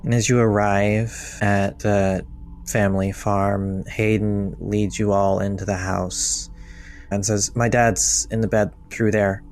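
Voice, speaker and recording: Low-voiced man running a tabletop game. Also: little pitch movement, slow pace, a little mellow. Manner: casual, many "like" fillers. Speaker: game master.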